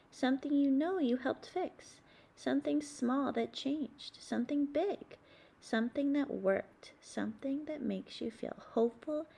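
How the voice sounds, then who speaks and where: A woman reading aloud as a children's book host, in deliberate, expressive phrasing meant for young listeners. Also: high-pitched, vocal fry, husky. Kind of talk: read-aloud storybook narration with discussion questions.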